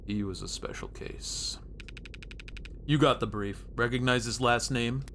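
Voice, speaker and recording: A man's voice: mid-range voice, measured pace, a bit bright, a little hiss or hum. A man voicing a blunt, world-weary streetwise cop in a noir game, with a matter-of-fact, wry delivery. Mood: grim, cynical. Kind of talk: reading noir game dialogue aloud.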